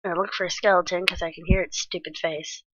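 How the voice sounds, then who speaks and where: A woman's voice: lowish voice, fairly even intonation, brisk, fairly bright tone, no room sound, muffled recording. A woman with a stuffy, congested nose, rambling casually with lots of ums while commenting on her gameplay. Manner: rambling, many ums and restarts, chatty. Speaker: Minecraft let's play creator.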